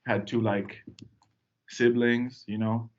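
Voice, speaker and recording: A young man from Tanzania, a podcast guest, telling his story in a relaxed, rambling way with lots of fillers. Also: medium pitch, slow, highs cut off. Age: young adult. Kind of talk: casual podcast chat.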